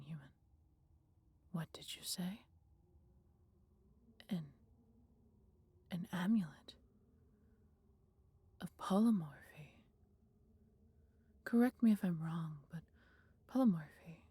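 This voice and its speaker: A woman voicing a gentle, wise dragon in an intimate audio roleplay, with a breathy, half-whispered voice and measured, formal phrasing. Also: fairly low pitch. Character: gentle, wise female dragon, fond of her human. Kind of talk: slow, intimate roleplay acting addressed to listener.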